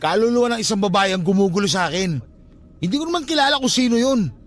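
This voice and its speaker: High-voiced, stubborn, haunted young man. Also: expressive, fast. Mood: bitter. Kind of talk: radio drama acting.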